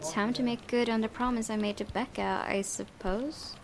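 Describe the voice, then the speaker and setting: A woman's voice: on the high side, steady tone, moderate pace, gravelly, noisy background. A woman let's player reading visual novel narration aloud, with casual asides and occasional stumbles that she corrects. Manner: stumbles over words and corrects herself.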